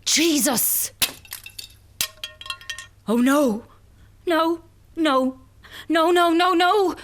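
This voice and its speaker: An actress with an Irish accent playing an anxious, fragile young woman in a radio drama, halting and unsure as she speaks. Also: extremely high pitch, a little hiss or hum, exaggerated voice. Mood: panicked. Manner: hesitant, halting. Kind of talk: naturalistic radio drama acting.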